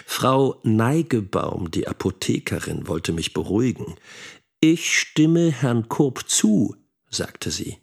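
Actor as gravelly, husky brooding middle-aged schoolteacher. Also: male voice, fairly low pitch, big pitch swings, takes it slowly, heavily acted. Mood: indignant. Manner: reflective, self-questioning. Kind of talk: radio drama interior monologue.

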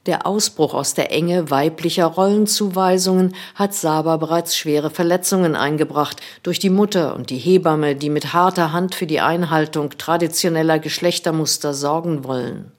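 A woman reading a scripted radio book review in a calm, measured way. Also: sits low.

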